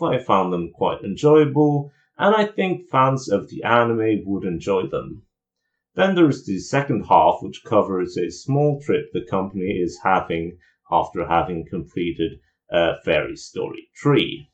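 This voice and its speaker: A man giving an opinionated, explanatory video review in an informal commentary style. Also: lowish voice.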